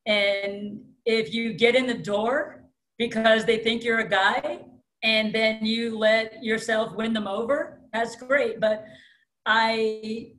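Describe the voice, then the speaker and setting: A woman's voice: fairly high pitch, a bit bright. Blunt New York woman on an echoey call. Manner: blunt, self-described alpha female. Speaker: high school strength coach, guest. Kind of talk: casual interview talk. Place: room at home. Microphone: webcam on video call. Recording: roomy video-call echo.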